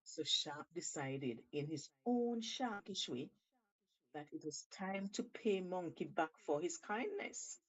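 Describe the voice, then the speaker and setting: Low-voiced woman telling a story, very expressive. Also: poor audio. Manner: deliberate, theatrical emphasis. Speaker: storyteller, show host.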